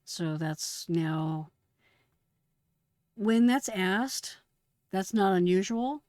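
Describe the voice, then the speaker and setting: A woman's voice: mid-range voice, expressive, drawn-out words, a little mellow, dry recording, clean studio sound. A middle-aged woman who hosts as a retired dispatcher, giving casual explanatory commentary on emergency radio traffic.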